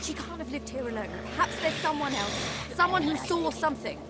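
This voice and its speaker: A defiant young woman from a fantasy game, with a high voice and blunt, old-fashioned speech. She sounds bitter and unyielding. Loud music plays behind her. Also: animated, a little fast. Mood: distressed, pleading. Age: young adult. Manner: blunt, archaic rural phrasing. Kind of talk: video game drama acting.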